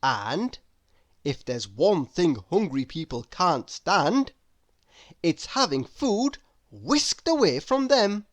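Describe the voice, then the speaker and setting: A woman reading a children's story aloud in an expressive narrating style. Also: lowish voice, takes it slowly. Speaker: storybook reader.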